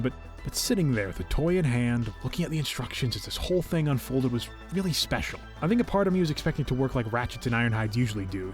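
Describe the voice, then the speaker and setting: A young man narrating a review in a casual, expressive, enthusiastic and conversational way. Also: mid-range voice. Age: young adult. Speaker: video host, toy collector. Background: music.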